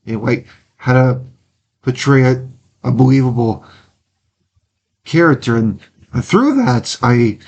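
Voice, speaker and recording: Earnest young man, halting speech. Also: mid-range voice, lively intonation, vocal fry, darkish tone, dull audio. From Wisconsin. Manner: earnest, halting, with frequent pauses and restarts. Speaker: interview guest. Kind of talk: casual interview talk.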